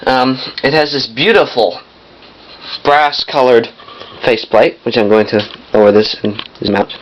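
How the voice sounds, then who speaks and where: A young man giving a casual hands-on demonstration in a halting, piecemeal way, often correcting himself. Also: medium pitch, fairly even intonation. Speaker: vintage audio collector demonstrating a machine. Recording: muffled lo-fi camcorder sound, noisy.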